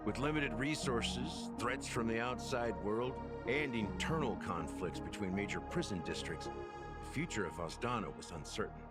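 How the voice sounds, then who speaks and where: A grave older officer from a video game, acted by a man, with a low-pitched voice. He speaks in a measured, solemn, world-weary way, like someone recounting old wars. Music plays clearly underneath. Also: lively intonation, lightly acted. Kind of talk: dramatic video game voice acting. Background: background game music.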